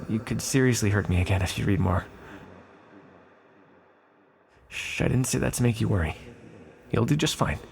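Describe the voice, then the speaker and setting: A man playing an ancient sealed villain, a calm and tender magical being who speaks in a measured, formal, reassuring way in an ASMR roleplay. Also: on the low side, vocal fry. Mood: thoughtful, reassuring. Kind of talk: ASMR roleplay, scripted monologue to the listener.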